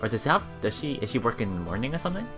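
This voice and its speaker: Let's player, mid-pitched and animated, reading game text aloud and thinking out loud, music underneath. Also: rapid-fire. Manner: musing, often thinking aloud. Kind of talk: reading visual novel text aloud with casual reactions.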